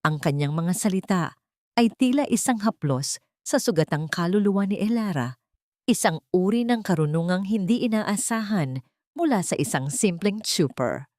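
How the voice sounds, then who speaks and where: A woman's voice: mid-range voice, expressive, normal speed, a little mellow, no room sound, clean studio sound. A woman reading scripted dramatic story narration in a solemn manner.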